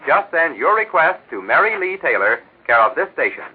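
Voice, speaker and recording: An American male announcer delivers a 1940s radio promotion. His mid-pitched voice is husky and highly animated. The old recording sounds thin and narrow. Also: a little fast. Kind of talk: 1940s radio advertising read.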